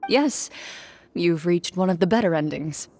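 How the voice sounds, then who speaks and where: A woman voicing a composed, courteous video game heroine who speaks in a measured, formal way. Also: on the low side, expressive, mellow, faint music behind. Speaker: game character telling a story. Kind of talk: scripted video game dialogue and narration.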